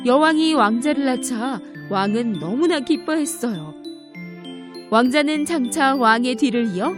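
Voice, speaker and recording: A woman narrates a children's fairy tale from a script. Her voice sits fairly high, and music plays clearly behind it. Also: expressive, unhurried. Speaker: storyteller.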